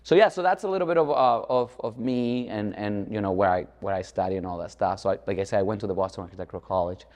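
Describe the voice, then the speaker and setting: Low-voiced Dominican-born man, very echoey. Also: fast, hardly any pauses, a little mellow. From Dominican Republic. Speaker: architect, guest. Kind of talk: conversational interview. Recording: echoey hall-like reverb.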